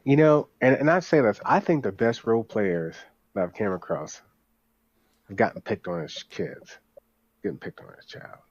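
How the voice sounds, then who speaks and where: A man with a fairly low voice speaks as an eager podcast guest in casual chat. He starts and stops, repeating himself as he goes. The recording is dry and somewhat dull, with a lo-fi sound. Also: moderate pace, dry recording.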